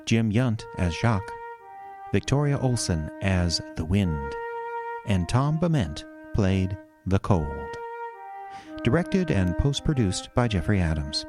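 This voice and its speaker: A man's voice: deep voice, sing-song, slow. A man announcing as a radio drama host, reading scripted credits over music.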